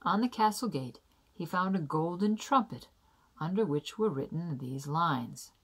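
A young adult woman reading a children's fairy tale aloud in a deliberate, careful storybook manner. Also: lowish voice, animated, husky. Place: small indoor room.

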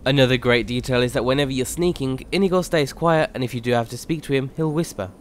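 A man narrates a scripted game mod spotlight at a brisk clip. His pitch is mid-range and his delivery explanatory, with faint noise in the recording.

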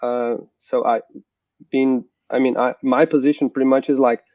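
A man in his forties from Brazil with a Brazilian accent, speaking haltingly as a remote interview guest over a video call. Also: mid-range voice, little pitch movement, no room sound. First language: Portuguese. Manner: halting, many "uh" restarts. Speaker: company founder and guest. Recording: dry dull video-call audio.

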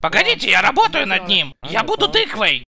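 Very high-voiced excitable male dubber. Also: brisk, vocal fry. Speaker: voice-over dubber of a gaming group. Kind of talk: comic gaming chat dub.

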